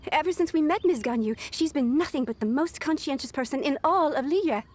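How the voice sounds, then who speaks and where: An earnest young secretary speaks in a high, girlish register. The delivery is polite and worried, as in video game dialogue, with slight noise behind. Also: animated, fairly quick, darkish tone. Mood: earnest, concerned.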